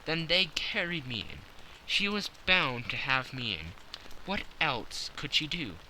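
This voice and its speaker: Confident gentleman sleuth, played by a male reader, precise and self-satisfied, keen forward tone with lively inflection. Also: medium pitch, unhurried, a little hiss or hum, exaggerated voice, low-quality recording. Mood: self-satisfied, explaining. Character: confident, analytical gentleman detective explaining his schemes. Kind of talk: audiobook character acting.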